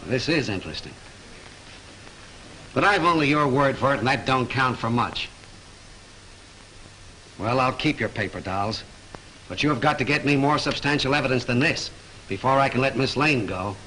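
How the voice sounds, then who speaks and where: A wry skeptical police lieutenant. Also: male voice, mid-range voice, animated, moderate pace, long pauses, pushed and bright, a little hiss or hum, low-quality recording. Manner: skeptical, dryly teasing. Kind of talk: 1930s film acting.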